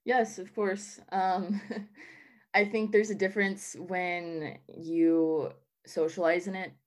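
A woman's voice: fairly low pitch, little pitch movement, slow. A young woman from the American South answering interview questions in a casual, chatty way, full of "like" and "um". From Southern United States. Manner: chatty, lots of "like" and "um" fillers.

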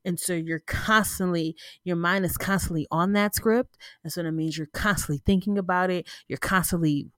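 A woman's voice: lowish voice, steady tone, moderate pace, hardly any pauses, no room sound. A woman hosting her own podcast, talking casually and informally with a stuffy, congested voice, often restarting and checking in with "right?". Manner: rambling, informal, frequent "right?" asides and self-restarts. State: congested, sniffling. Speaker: podcast host. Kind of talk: casual solo podcast talk. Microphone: close podcast mic.

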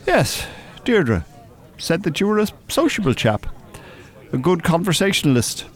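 A well-spoken older gentleman from a radio play. His pitch moves in a lively way as he talks, with formal, wordy phrasing and a dry, teasing manner. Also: a little slow. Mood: wry. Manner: formal, wordy, drily mocking. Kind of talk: radio drama dialogue.

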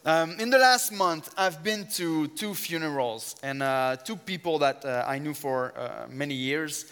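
A man preaching with a strong French accent, his first language being French. His voice is smooth and resonant, and it carries an earnest, fervent emphasis in prayer. Long church reverb rings behind every phrase. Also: a little high, a little slow, a bit bright. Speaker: guest preacher. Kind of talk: sermon with opening prayer. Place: church sanctuary.

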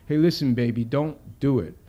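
A man's voice: mid-range voice, moderate pace, mellow, lightly acted. A man voicing a young, streetwise ex-addict caller, earnest and preachy, pep-talking in slangy street rap. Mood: urgent, encouraging.

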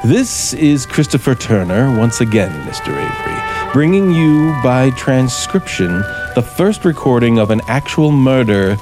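Sardonic, slow-talking radio-thriller schemer. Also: male voice, medium pitch, very animated. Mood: coolly menacing. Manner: theatrical, deliberate, mockingly polite, narrating his own actions. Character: embittered, sardonic male radio scriptwriter coolly plotting murder. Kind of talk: 1950s radio thriller acting. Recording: vintage 1950s radio broadcast with music.

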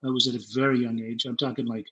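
A middle-aged man speaking at an ordinary, mid-range pitch, telling his life story to an interviewer. He stumbles and repeats words as he goes, then livens up when he acts out old moments. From born in Germany, raised in Utah. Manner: halting, repeats words often, animated when retelling scenes. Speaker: interview guest. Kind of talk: candid conversational storytelling.

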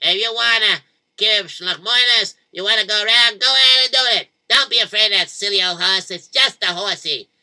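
A man's voice: high-pitched, expressive, normal speed, vocal fry, pushed and bright, heavily acted. A man voicing an eager, confident young boy in a spoken story. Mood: bold. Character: brave, cheerful young boy.

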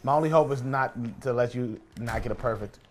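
A man's voice: medium pitch, fairly quick, a little hiss or hum. A man co-hosting a casual podcast chat, arguing his point and often repeating phrases to press it while playing a game. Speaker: podcast co-host.

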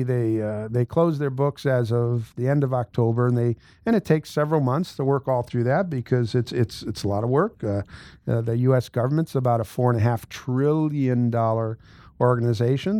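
Older american guy in his 60s explaining money stuff, mid pitch, blunt and repeats himself for emphasis, really dry recording. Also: warm tone, no echo. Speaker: host, tax and accounting adviser. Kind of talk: informal explanatory financial commentary.

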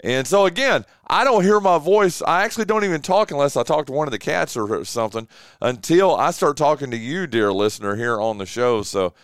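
Male morning radio host, chatty and rambling, smooth voice, dry studio sound. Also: a little high, lively intonation, fairly quick, no room sound. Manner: rambling, chatty, lots of uh fillers. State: voice deeper and rough from yelling the night before. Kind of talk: casual morning show chat. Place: small home radio studio. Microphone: studio mic with headphones.

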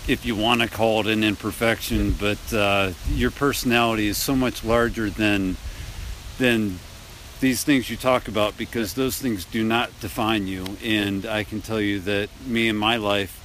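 A man's voice: lowish voice, fairly even intonation, normal speed, a bit bright, a little hiss or hum, rough recording. A man hosting a podcast outdoors in the cold, talking in a casual, appreciative way with loose fillers. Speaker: podcast host. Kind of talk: casual interview chat.

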